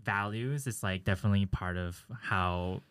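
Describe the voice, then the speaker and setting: A young adult with a low-pitched voice talks casually, recorded dry and close. The phrasing is halting and hesitant, often circling back before it moves on. The speaker comes from Taiwan and was later raised in the United States. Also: a bit bright, clear, resonant tone, dry recording. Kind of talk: casual chat.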